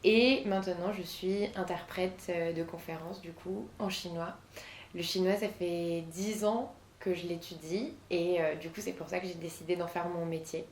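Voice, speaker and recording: A woman's voice: on the low side, fairly even intonation, moderate pace, slight background noise. Young French woman in an echoey room. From southern France. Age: 20s. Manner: hesitant, many fillers. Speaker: guest, conference interpreter. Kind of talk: interview answers.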